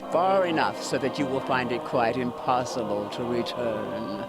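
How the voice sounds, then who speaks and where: Slow, menacing eldritch god, acted by a man. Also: mid-range voice, lightly acted. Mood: quietly threatening. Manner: menacing, formal, deliberate, condescending. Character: ominous eldritch god, stern condescending elder uncle. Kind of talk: theatrical video game villain monologue. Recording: music behind the voice.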